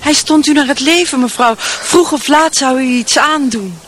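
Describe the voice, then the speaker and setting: A woman's voice: sits high, normal speed, fairly bright tone, a little hiss or hum. An actress playing a dutiful housemaid in a radio drama, deferential yet insistent as she reassures. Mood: reassuring, earnest.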